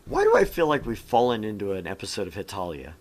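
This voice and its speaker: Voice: medium pitch, wildly swooping pitch, quick pace. A gaming co-host narrating a visual novel and chatting casually with joking, sweary commentary.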